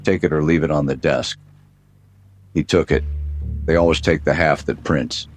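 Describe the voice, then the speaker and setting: A man narrating as a world-weary private detective, deadpan with dry wisecracks, in a 1930s-style noir radio drama. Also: very deep voice, normal speed, faint music behind.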